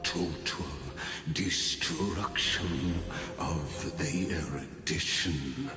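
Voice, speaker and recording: Scheming scholar villain for a game: theatrical, politely condescending, extremely slow delivery in a long echoing hall over music. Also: male voice, a bass voice, full character voice. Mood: smug, courteous. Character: scheming, courteous male scholar-villain bent on destroying a god. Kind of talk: dramatic video game villain monologue.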